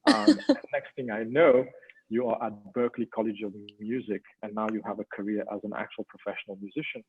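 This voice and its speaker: Man, panel moderator, video call, husky voice, tinny phone-like audio, chatty, lots of ums. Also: fairly low pitch, animated, a little fast. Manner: conversational, many ums, warm personal asides. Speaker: webinar moderator.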